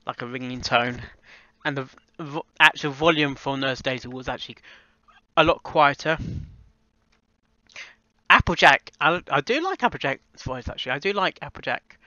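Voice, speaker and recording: A man chatting casually and unscripted in a reaction vlog, halting and often repeating and rephrasing himself. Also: medium pitch, expressive, pushed and bright, no room sound, highs cut off. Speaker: amateur voice actor reviewing a fan fiction audio reading.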